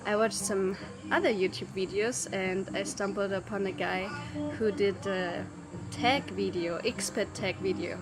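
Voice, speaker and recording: A young woman from Germany, talking casually to camera about her life, with pauses and fillers. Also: mid-range voice, low-quality recording. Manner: thoughtful, unhurried, with frequent pauses and fillers. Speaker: travel vlogger. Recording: music playing underneath.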